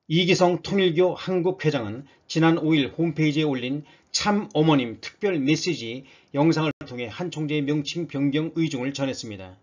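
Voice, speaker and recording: A man with a somewhat high-pitched voice reads scripted news as a narrator. His delivery is formal, like an announcer reading out a report. The voice-over sounds slightly muffled and lo-fi. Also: a little mellow.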